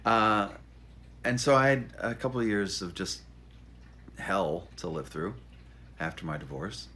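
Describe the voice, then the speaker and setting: A man's voice: lowish voice, measured pace, sharp tone, a little hiss or hum. A middle-aged man, an author, telling his story informally in a wry, self-deprecating, stop-and-start way. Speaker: author introducing his memoir. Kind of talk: informal spoken monologue, storytelling.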